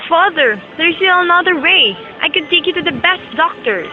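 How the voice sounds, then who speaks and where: A woman's voice: extremely high pitch, expressive, moderate pace. A young woman acting a rich man's respectful, earnest son in an amateur student audio drama. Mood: pleading. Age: young adult. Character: grown sons of a rich man, respectful and pleading. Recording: muffled amateur recording with music.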